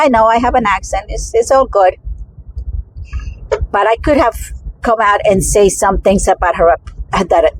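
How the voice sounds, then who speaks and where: A woman's voice: mid-range voice, a little hiss or hum, poor audio. Rambling woman with a Spanish accent. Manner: rambling, many fillers and restarts. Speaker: vlogger. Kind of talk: casual personal vlog.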